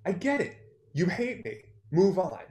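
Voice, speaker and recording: A man's voice: sits high, very animated, unhurried, darkish tone. A young man playing a bitter, heartbroken songwriter, curt and guarded, in a play read over video call. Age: young adult. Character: Liam, bitter, heartbroken male songwriter, guarded toward former love. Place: plain-walled room at home, indoors.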